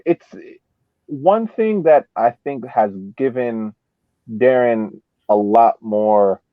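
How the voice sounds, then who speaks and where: A man giving casual sports commentary on a podcast, haltingly thinking aloud over thin call audio. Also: fairly low pitch, no echo, phone-quality sound. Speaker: podcast co-host.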